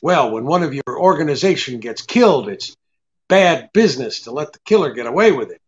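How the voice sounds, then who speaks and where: An actor playing a cynical, unyielding private detective in a 1940s-style radio drama, with terse, halting tough talk. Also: male voice, a little high, big pitch swings, dull audio. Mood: grimly resolved.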